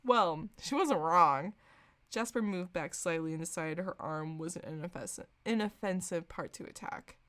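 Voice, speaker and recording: A woman's voice: fairly low pitch, normal speed, fairly bright tone. A woman podcast host giving a comedic, mock-serious dramatic reading of fan fiction, often sounding amused.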